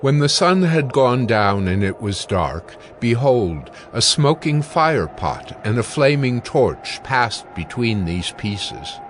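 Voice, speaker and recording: A man narrating scripture from a script in a measured, formal reading manner. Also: mid-range voice, big pitch swings, slight background noise.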